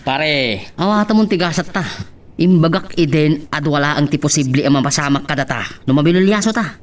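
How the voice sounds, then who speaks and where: A man's voice: on the high side, lively intonation, brisk, close to an everyday voice. A man with an Ilocano accent playing a taunting, callous syndicate accomplice in a radio drama. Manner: mocking, sneering. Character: taunting, callous male crime-syndicate accomplice named Goryo.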